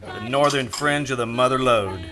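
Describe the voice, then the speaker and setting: A man acts a wisecracking private eye in an old radio drama. His voice is bright and hard-edged, wry and world-weary, moving between teasing and sardonic, over faint music. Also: medium pitch, moderate pace, close to an everyday voice. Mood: playful, teasing. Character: wisecracking hardboiled male private detective, world-weary but playful. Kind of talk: hardboiled radio detective drama acting.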